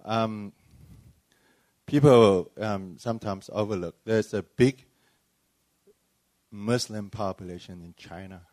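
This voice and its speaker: Pastor from Malaysia, male, deep-pitched, hesitant phrasing, giving testimony at a panel, dry recording. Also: slow, pauses often, darkish tone, no room sound. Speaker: church planting pastor. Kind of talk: personal testimony at a panel discussion.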